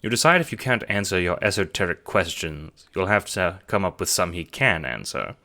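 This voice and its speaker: A man's voice: sits low, big pitch swings, moderate pace, gravelly, sharp tone. A man narrating an audio drama as scripted second-person storytelling.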